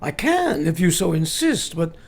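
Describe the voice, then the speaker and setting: A man as commanding elderly vampire hunter, high-set creaky voice, formal old-fashioned phrasing, radio drama. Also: very animated, a little slow, heavily acted. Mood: intent. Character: grave, commanding elderly male professor hunting a vampire. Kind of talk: radio play acting.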